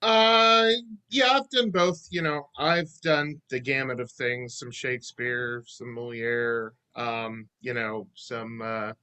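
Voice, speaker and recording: A man's voice: mid-range voice, fairly even intonation, slow, sharp tone, clean tone. A man, an actor, answering questions conversationally as a guest on a video call.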